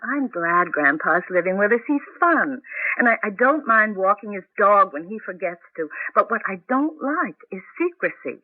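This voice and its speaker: An actress playing a loving, worried wife who feels shut out, in an earnest 1980s radio drama style with halting repeats. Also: female voice, medium pitch, sing-song, dry recording. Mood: hurt, reproachful. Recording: dull vintage radio broadcast.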